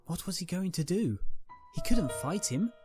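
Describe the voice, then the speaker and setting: A man's voice: high voice, very animated, moderate pace. A man reading a dramatic story as a scripted narrator. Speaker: narrator, reader. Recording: music behind the voice. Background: background music.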